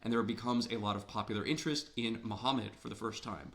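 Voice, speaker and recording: A man's voice: lowish voice, steady tone, fast talker. A man from Ohio giving a literature lecture in a conversational way, with rhetorical questions, his voice a little worn. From Ohio, USA. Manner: conversational, asks rhetorical questions, repeats words when emphasizing. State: slightly losing his voice.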